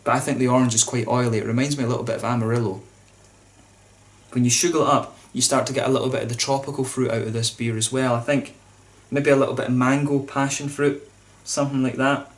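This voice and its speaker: Guy rattling through a beer review, quick and chatty, loose and informal, lots of uh and restarts. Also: mid-range voice, level tone. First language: English. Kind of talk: casual beer review to camera.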